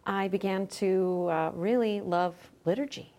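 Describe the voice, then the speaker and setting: A woman's voice: medium pitch, unhurried, a little mellow. A middle-aged woman speaking conversationally as an interview guest, recounting things in an informal, explanatory way. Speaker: podcast guest, journal managing editor.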